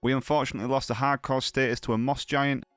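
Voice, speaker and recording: A man's voice: medium pitch, flat delivery, fast talker, fairly bright tone, smooth, dry recording, clean studio sound, faint music behind. Man with a cold, commentating gameplay. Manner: chatty, upbeat, slangy. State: has a heavy cold. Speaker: gaming channel host.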